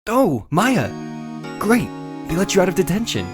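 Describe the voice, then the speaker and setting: A woman's voice: on the low side, sing-song, normal speed, over music. A woman voicing an earnest, wry young male defense lawyer in a video game dub. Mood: relieved.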